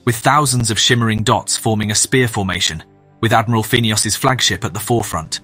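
A solemn storyteller narrating a space war. His fairly low voice delivers scripted, dramatic narration over prominent music. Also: a little fast, sharp tone, breathy edge.

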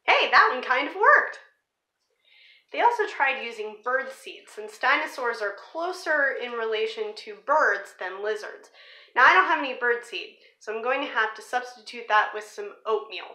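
A young woman presenting to children in an expressive storybook read-aloud style, stressing key words like a teacher. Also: on the high side, normal speed, poor audio. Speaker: teacher reading a picture book aloud for children. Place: small living room or room at home, indoors.

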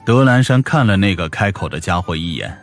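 A man's voice: sits low, lively intonation, fairly quick. A man narrating a scripted audiobook story. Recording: music behind the voice.

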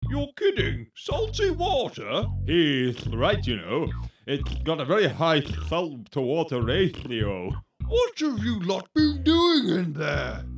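A man's voice: a little high, slow, recorded from a distance, soft background music, heavily acted. A man voicing a bombastic, petulant alien invasion commander in a comic cartoon, theatrical and easily exasperated. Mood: deflated, puzzled. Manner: theatrical, swinging between flat asides and outbursts. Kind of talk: comic cartoon villain acting.

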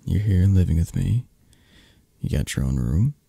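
A man playing a possessive, flirty mafia boss who dotes on his lover, murmuring softly and intimately in an ASMR romantic roleplay, with a breathy, husky, hesitant and reassuring delivery. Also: sits low, lively intonation, moderate pace, no echo, heavily acted. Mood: fond.

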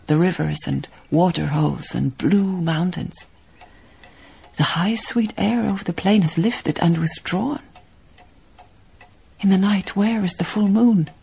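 An actress playing a grieving, dignified woman memoirist in a radio drama, speaking reflectively in a breathy, husky voice. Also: deep voice, a little mellow, exaggerated voice. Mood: wistful, sorrowful.

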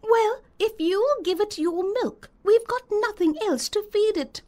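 A man voicing an anxious, exasperated country mother in falsetto for an audiobook story. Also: unhurried, darkish tone. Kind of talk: acted audiobook dialogue.

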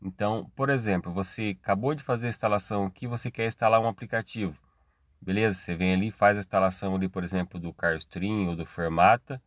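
A man's voice: on the low side, fairly even intonation, fast talker, dry recording, clean studio sound. A Brazilian man hosting a tech tutorial, walking through steps casually and checking in often. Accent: Brazilian Portuguese. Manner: step-by-step, casual, tag questions. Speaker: tech channel host. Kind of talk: screen-recorded tech tutorial.

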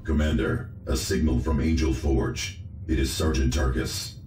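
An actor playing a grave, formal military subordinate in a video game, speaking in a measured way. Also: male voice, extremely low pitch, fairly even intonation, darkish tone. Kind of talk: video game character acting. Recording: echoey with clear background noise.

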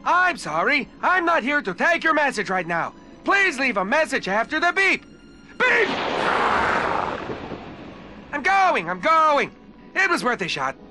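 Shrill manic little cyborg henchman. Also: big pitch swings, measured pace, pauses often, over music, exaggerated voice. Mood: sulky, evasive. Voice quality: shrill, scratchy. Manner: melodramatic, erratic, childish. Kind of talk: cartoon video game acting.

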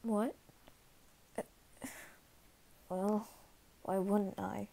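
A woman voicing a flustered, guarded but caring teenage anime boy in an ASMR roleplay, halting and stammering, sometimes whispering. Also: mid-range voice, little pitch movement, creaky, warm tone. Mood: awkward, caring. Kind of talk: ASMR roleplay, soft scripted acting.

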